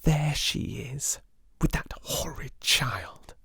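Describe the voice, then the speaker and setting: A man's voice: a little high, expressive, slow pace, gravelly, bright, forceful tone, breathy edge, no echo, whispered, clean studio sound, voice pushed up, exaggerated voice. A man voicing a spiteful, haughty schoolgirl in a storybook, with a scornful, snippy manner. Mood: scornful whisper.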